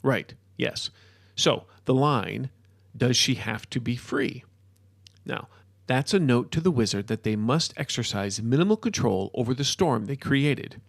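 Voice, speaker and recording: A man playing an excitable modern-day wizard in a storybook, ranting and lecturing in an emphatic, informal way. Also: medium pitch, a little slow, creaky, dry recording, clean studio sound. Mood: explaining. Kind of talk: storybook character dialogue.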